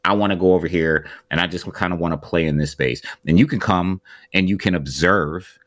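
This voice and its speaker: Deep-voiced, deliberate man. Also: fairly bright tone, no room sound, clean studio sound. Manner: measured and deliberate, builds his argument point by point. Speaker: podcast co-host. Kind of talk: casual podcast discussion.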